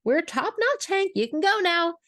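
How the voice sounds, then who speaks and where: A woman voicing an anxious, flustered aunt in a dramatic audio story, halting and emphatic. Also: very high-pitched, very animated, a bit bright, dry recording, studio quality, voice pushed up, heavily acted. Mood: annoyed. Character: anxious, flustered mother-figure aunt, irritable and overwhelmed.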